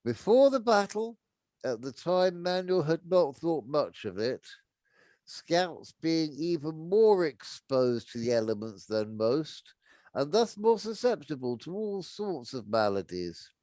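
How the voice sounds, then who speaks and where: A man's voice: on the high side, very animated, measured pace, mellow, dry recording. A man reading a story aloud unrehearsed, narrating and now and then stumbling over words and rereading. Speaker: reader of a book aloud.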